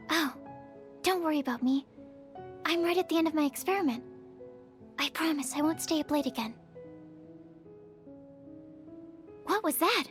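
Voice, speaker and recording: A woman voicing a shy, bookish young female alchemist in a video game, speaking in a hesitant, polite and earnest way. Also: high-pitched. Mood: polite, preoccupied. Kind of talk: English video game character voice acting.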